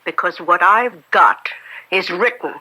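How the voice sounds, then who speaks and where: A woman's voice: on the low side, unhurried, bright, forceful tone, poor audio. An actress playing a gangster's wife in an old-time radio drama, blunt and menacing, fighting for her son. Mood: angry, threatening. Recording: vintage radio drama broadcast.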